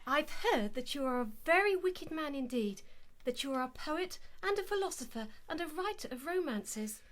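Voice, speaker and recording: A woman's voice: high voice, animated, normal speed. An actress playing a naive, easily impressed young lady in a radio play, gushing and flustered in manner. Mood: awed.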